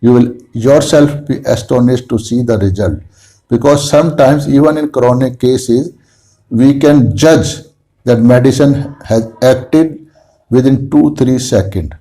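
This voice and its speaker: A man with an Indian accent, a native Hindi speaker, teaches in a slow, earnest lecture. His voice is mellow and dark, and he pauses and restarts his phrases as he works through each point. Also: mid-range voice, rough recording. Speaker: homeopathy teacher. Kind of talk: lecture to camera.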